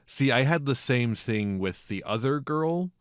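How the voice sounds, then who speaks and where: A man's voice: medium pitch, lively intonation, measured pace, clear, resonant tone, dry recording, studio quality. A man hosting a casual film podcast, getting over a cold, wry and chuckling between his remarks. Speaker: podcast host.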